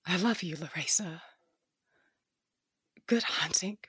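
Woman acting out a dying woman fighter, tough and foul-mouthed, husky voice, slow faltering words, dry close sound. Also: on the low side, bright, forceful tone, dry recording, full character voice. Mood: weak, fading. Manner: clipped, faltering lines. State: weak and dying. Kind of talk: fan audio drama acting.

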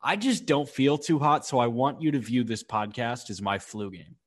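A man from Chicago, stuffed up and coughing, co-hosting a baseball podcast. His talk is laid-back and rambling, with lots of filler words, at a middle pitch. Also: moderate pace. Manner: laid-back, chatty, says "like" often. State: congested with a cough. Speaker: podcast co-host. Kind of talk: casual sports podcast chat.

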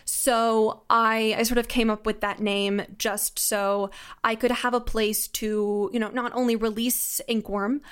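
A woman's voice: a little high, little pitch movement, measured pace, fairly bright tone, clean tone. A young adult woman answering interview questions as a podcast guest, conversational, articulate and enthusiastic. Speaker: audio drama creator, podcast guest.

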